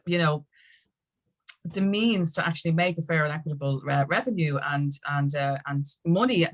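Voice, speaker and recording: A woman's voice: low voice, normal speed. A middle-aged Irish woman chatting candidly as an interview guest, telling her story in an emphatic, rambling way and acting out quotes. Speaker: guest, music industry campaigner.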